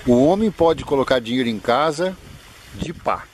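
A man's voice: medium pitch, lively intonation, moderate pace, noisy background. A man in his sixties interviewing a friend outdoors in a jokey, chatty way. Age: 60s. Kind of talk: casual chat.

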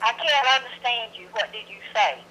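A woman's voice: on the high side, normal speed, a loud crowd. A woman with a Southern US accent, a shop employee giving brief, unscripted replies over a phone speaker. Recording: distant phone speaker, faint noise.

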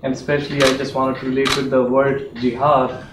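A middle-aged man from Pakistan with a Pakistani accent, standing in the audience and earnestly making a deliberate point. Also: medium pitch, flat delivery, background noise. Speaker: audience member, political candidate. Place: university lecture room, standing in audience. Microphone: far room mic. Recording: echoey room from far off.

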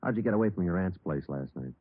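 Clipped, deadpan, deep-voiced radio detective. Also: male voice, fast, dry recording. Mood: dry, businesslike. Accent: American. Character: terse, matter-of-fact male police detective. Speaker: lead detective and narrator. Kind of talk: 1950s radio drama acting and clipped narration. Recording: narrow-band vintage radio, music behind.

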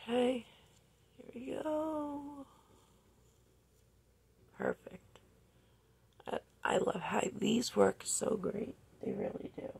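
A young woman vlogging a makeup tutorial at home, talking casually and softly, often near a whisper, with a slightly raspy voice, recorded close on a phone in a bedroom. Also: a little high, sing-song, slow, long pauses, slight background noise. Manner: soft-spoken, often near a whisper while working. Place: bedroom, indoors. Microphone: phone camera close up.

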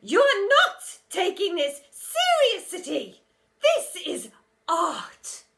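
A woman's voice: very high voice, wildly swooping pitch, extremely slow, a bit bright, pitched above the performer's own voice, full character voice. A woman voicing a vain, pompous female art teacher who drags out her words and mangles them with made-up endings. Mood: grandiose. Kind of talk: storybook character voice.

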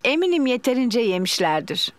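A woman voicing a kindly, sensible, gently teasing mother pig in a children's cartoon dub. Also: a little high, very animated, normal speed, no echo. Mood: patient.

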